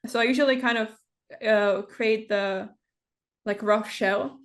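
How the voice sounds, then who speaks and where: A woman in her twenties, speaking English as a second language, talks on a video call as an interview guest, hesitant and full of pauses and fillers. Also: fairly high pitch, steady tone. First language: not English. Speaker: interview guest, game builder. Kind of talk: casual conversation.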